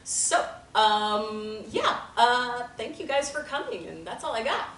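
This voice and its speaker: A woman's voice: fairly high pitch, lively intonation, unhurried, a bit bright. A woman giving casual announcements to a theater audience, bubbly and full of fillers. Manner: bubbly, hesitant, with many fillers. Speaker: theater company organizer. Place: theater or event room. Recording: roomy, from far off, faint noise.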